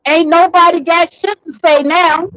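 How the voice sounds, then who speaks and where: A high-pitched woman holds forth on a live stream from behind the wheel, blunt and animated and cursing freely, her voice wearing hoarse. The sound is dry and a touch dull. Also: unhurried, no room sound. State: getting hoarse. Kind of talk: casual live stream commentary. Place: in a vehicle, driving.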